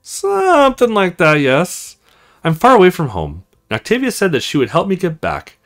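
A man's voice: high voice, huge pitch swings, moderate pace, faint music behind, voice pushed up, heavily acted. A man voicing an awkward, earnest young male recruit in a visual novel, hesitant and self-conscious.

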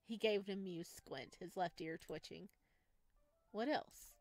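A woman streaming a visual novel, reading the story aloud and breaking in with excited, gushing asides about cute things. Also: fairly low pitch, moderate pace, soft background music. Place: close indoor mic, voice over game footage.

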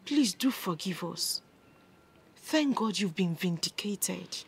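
An actress playing a remorseful friend begging forgiveness in a screen drama, earnest and pleading. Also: female voice, medium pitch, animated, slow, breathy edge, exaggerated voice.

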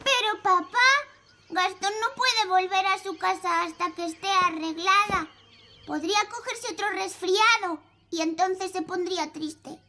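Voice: very high-pitched, measured pace, pushed and bright, heavily acted. A performer voicing a sweet, earnest little fairy princess girl with a Castilian Spanish accent in a cartoon dub. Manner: earnest, childlike.

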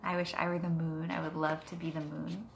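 A woman in her thirties, a teacher reading a picture book aloud gently and unhurriedly, drawing out words, at home. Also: low-pitched, level tone, brisk, vocal fry. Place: living room at home, on a couch. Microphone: device camera a short distance away. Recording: noticeable room background noise.

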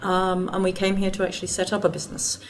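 A woman's voice: mid-range voice, monotone, fast. Middle-aged Englishwoman on a panel. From England. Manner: earnest, hesitant restarts, gesturing. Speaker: panel guest. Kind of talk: panel discussion. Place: conference room with audience.

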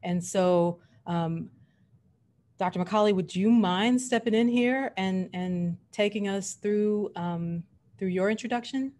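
A woman's voice: mid-range voice, slow pace, a little mellow. A middle-aged woman giving an informal introduction on a video call as an event organizer.

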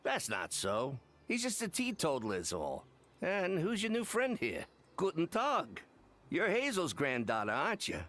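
A man's voice: fairly high pitch, huge pitch swings, normal speed, a bit bright. A man playing a hearty, friendly older village man in a video game, speaking in a folksy way. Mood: amiable, matter-of-fact. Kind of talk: video game character acting.

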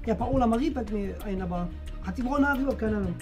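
Excitable high-voiced young man. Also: fast talker. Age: 20s. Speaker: co-host of a guessing game video. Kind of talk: casual game chat. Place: small indoor room. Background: faint music.

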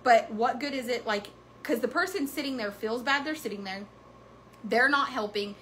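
Host in her 30s, frank and animated, bright voice, slightly high, faint room noise. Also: normal speed, rough recording. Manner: frank, animated, swears casually. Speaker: horse business owner and channel host. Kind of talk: casual chat to viewers. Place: wood-paneled indoor room.

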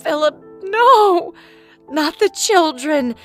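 A long-suffering mother played by a woman speaks in a high, tender, pleading voice. It is melodramatic comic-dub acting over faint music. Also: expressive, slow pace, heavily acted. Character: devoted, long-suffering gentle wife and mother.

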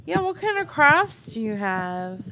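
A woman's voice: high voice, sing-song, unhurried, heavy background noise. A woman hosting a prank call show, improvising casually in a crude, teasing, rambling way.

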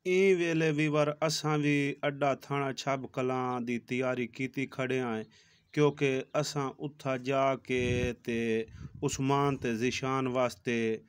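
Pakistani village vlogger, smooth voice. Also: male voice, medium pitch, no room sound. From Punjab, Pakistan. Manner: talks to viewers, repeats "viewers" often. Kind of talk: casual travel vlog narration. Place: outdoors on village roads, then a shoe shop. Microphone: phone held at arm's length.

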